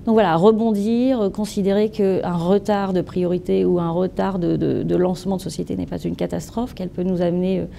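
Thirtyish woman, super gravelly and dark voice, chatty interview at an expo stand. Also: lowish voice, brisk, few pauses, slight background noise. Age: 30s. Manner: animated, gestures with hands, playful wordplay. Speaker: entrepreneur interviewee. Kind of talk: answering interview questions. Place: event stand with branded backdrop, indoors.

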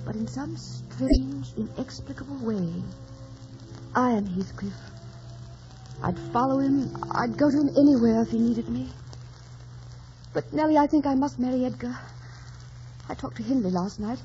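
Actress as willful young gentlewoman, passionate, high voice, old radio drama, hissy dull recording. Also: normal speed, pauses often, lightly acted. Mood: fervent, torn. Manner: theatrical, ardent.

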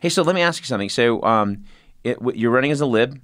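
A man's voice: medium pitch, lively intonation, quick pace, a bit bright, dry recording, clean studio sound. A man from California hosting a podcast interview, talking casually with lots of restarts and the odd swear word. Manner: rambling, profane, many restarts. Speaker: podcast host.

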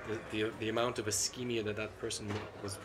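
A middle-aged man, a cardiologist being interviewed at a conference, talks in a measured, analytical way with frequent ums and restarts. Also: on the low side, level tone, noisy background. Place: seated at a conference booth indoors. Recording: constant conference hall ambience.

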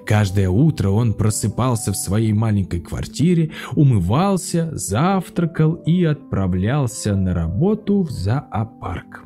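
A man, an actor, narrating a children's fairy tale in a lively, expressive way. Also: medium pitch. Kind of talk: scripted storybook narration.

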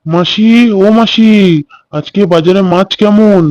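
A man's voice: high voice, measured pace, darkish tone, highs cut off, faint music behind. A man playing a kindly, doting village father in a children's cartoon, chatty and affectionate. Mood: cheerful. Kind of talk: Bengali children's cartoon story dialogue.

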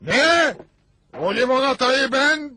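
A man's voice: extremely high pitch, lively intonation, takes it slowly, sharp tone, full character voice. A man playing a grumpy, bossy middle-aged father in a dubbed cartoon, blustering and sarcastic with theatrical exaggeration.

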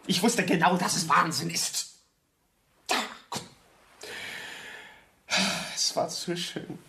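A man's voice: fairly high pitch, moderate pace, creaky, pushed and bright, breathy edge, heavily acted. An actor playing an earnest, ambitious young architect in a radio drama, stammering and repeating words as he pleads. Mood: bitter, disappointed. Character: earnest, ambitious young male architect, excitable and easily hurt. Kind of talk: German radio drama acting.

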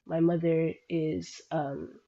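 A low-voiced woman in her thirties answers interview questions over a video call, her tone dark and mellow. She speaks thoughtfully, pausing and restarting as she gathers her words. The call audio is dull and lo-fi. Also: little pitch movement. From Sudanese parents, grew up in America. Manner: thoughtful, halting, many restarts and fillers. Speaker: guest. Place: small room indoors. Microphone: earbuds on a video call.

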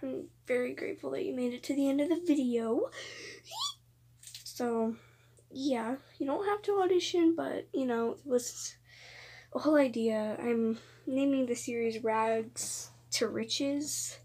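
A young woman with a high, slightly breathy and rough voice that cracks now and then. She makes an informal, rambling announcement to her viewers at an unhurried pace, hesitating and circling back over her phrases. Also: mellow. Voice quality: occasional voice cracks. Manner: hesitant, informal, repeats herself. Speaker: video creator announcing voice auditions. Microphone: phone voice recorder app.

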